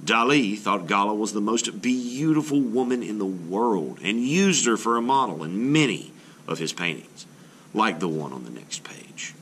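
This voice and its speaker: A man reading a children's book aloud as an art teacher, in an expressive, explanatory way meant for children. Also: fairly low pitch, normal speed, a little hiss or hum.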